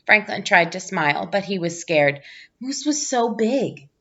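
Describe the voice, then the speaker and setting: A woman reading a children's storybook aloud in an expressive narrating style. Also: mid-range voice, measured pace, lo-fi sound. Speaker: storybook reader, teacher.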